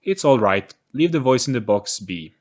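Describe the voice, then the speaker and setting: A man's voice: medium pitch, animated, moderate pace, a little mellow, pitched above the performer's own voice, natural voice. A man voicing an assertive young boy who leads his group in an audio story. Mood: protective.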